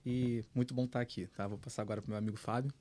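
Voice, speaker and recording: A man, a guest on a tech panel, talking in a relaxed, chatty way with many fillers. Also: mid-range voice, rapid-fire. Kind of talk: casual panel discussion.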